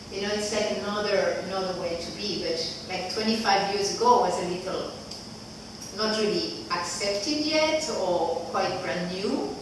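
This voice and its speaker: A woman in her forties from Switzerland with a French accent, giving a casual workshop talk to a group in a chatty, loose way full of "like" fillers. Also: lowish voice. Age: 40s. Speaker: workshop facilitator. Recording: echoey room, distant mic, background noise.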